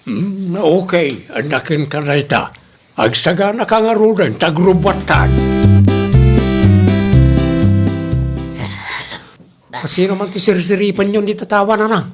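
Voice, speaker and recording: A woman's voice: lowish voice, expressive, normal speed, music in the background, lightly acted. Swooping, teasing villager played by a woman. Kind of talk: Ilocano audio comedy drama acting.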